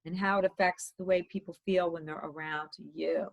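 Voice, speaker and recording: Lady coach, bit hoarse from talking all day, upbeat advice in casual chat. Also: on the low side, steady tone, moderate pace, highs cut off. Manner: upbeat, advising. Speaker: dating coach and host. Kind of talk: coaching conversation.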